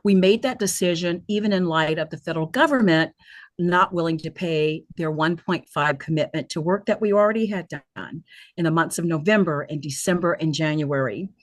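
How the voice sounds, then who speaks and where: Low-voiced woman addressing a webinar. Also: moderate pace, dry recording. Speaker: nonprofit leader hosting a virtual town hall. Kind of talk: semi-prepared webinar address.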